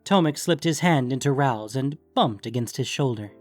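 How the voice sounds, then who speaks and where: A man narrating scripted fiction in a measured, deliberate storytelling manner. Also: mid-range voice, animated, no room sound, faint music behind.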